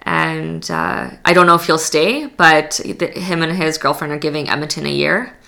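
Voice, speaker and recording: A young woman from Poland speaks as a podcast guest. Her voice sits very low, with a gravelly, bright edge. She talks in a loose, chatty way, full of fillers and restarts. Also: fairly even intonation, normal speed. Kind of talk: casual interview chat.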